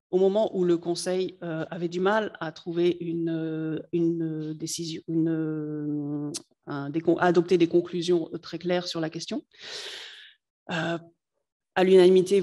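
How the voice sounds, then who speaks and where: A native French speaker giving a lecture to students, often pausing to search for words. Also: medium pitch, mellow. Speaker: guest speaker on European affairs.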